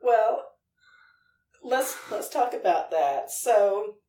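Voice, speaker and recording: A woman's voice: medium pitch, expressive, drawn-out words, warm tone. A woman hosting a podcast, asking interview questions in a casual conversational style. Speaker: guest host, interviewer. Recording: distant, echoey room, faint noise.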